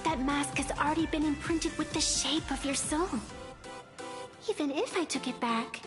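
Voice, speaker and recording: A woman's voice: high-pitched, normal speed, natural voice. A woman playing a mischievous, theatrical young girl trickster in an anime-style game, playful and melodramatic. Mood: smug, playful. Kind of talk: video game character dialogue. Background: game music.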